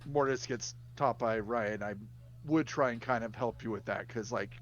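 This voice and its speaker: A man's voice: mid-range voice, moderate pace. Man on a dull lo-fi mic. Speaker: player. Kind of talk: tabletop game chat.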